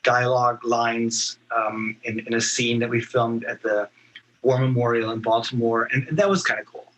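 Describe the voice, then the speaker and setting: A man with a bright, forward voice and a middling pitch, speaking as a guest actor on a podcast. He tells his stories casually and thoughtfully, often pausing to find the next phrase. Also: fairly even intonation, slight background noise, rough recording. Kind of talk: casual podcast interview, recounting experiences.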